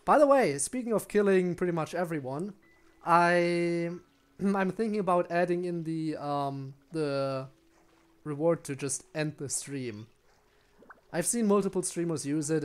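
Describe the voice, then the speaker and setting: A man's voice: a little high, moderate pace. A man giving casual, rambling live game commentary, musing aloud to his viewers. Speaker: game streamer.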